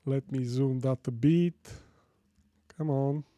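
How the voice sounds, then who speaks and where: Slow-paced Bulgarian man live-streaming casually. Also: medium pitch, steady tone, dark tone, no echo. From Sofia, Bulgaria. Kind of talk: casual live tech tutorial, chatting with viewers.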